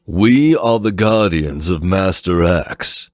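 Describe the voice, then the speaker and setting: Man as a stern, deliberate guardian leader. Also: low voice, animated, dry recording, studio quality, voice pushed up. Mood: stern threat. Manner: formal, deliberate, threatening. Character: stern, proud male guardian leader with twin blades. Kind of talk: dramatic fan fiction reading.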